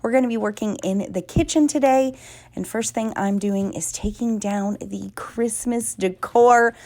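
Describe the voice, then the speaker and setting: A woman's voice: a little high, measured pace, a little mellow. A woman, chatting casually in a home vlog, a little nasal and congested from a cold, bubbly and talkative. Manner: bubbly and talkative, many fillers. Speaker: vlogger. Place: home kitchen.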